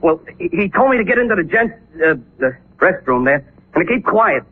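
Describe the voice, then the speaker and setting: An actor playing an eager, vain working-class gas station attendant, excitable and halting, in 1940s radio drama. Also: male voice, high voice, breathy edge, exaggerated voice. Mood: nervous, explaining. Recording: muffled vintage 1940s radio recording.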